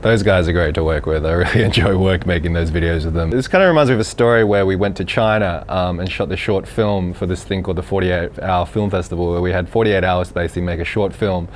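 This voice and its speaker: Australian man, deep voice, relaxed storytelling vlog, young adult. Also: quick pace, hardly any pauses, slight background noise. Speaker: vlogger.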